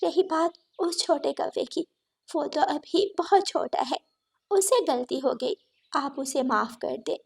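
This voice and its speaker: Woman as cartoon mama bird, kind but firm, high voice with some creak. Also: takes it slowly, exaggerated voice. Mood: pleading. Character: kind, reasonable mother bird who pleads and admonishes. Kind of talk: cartoon story dialogue.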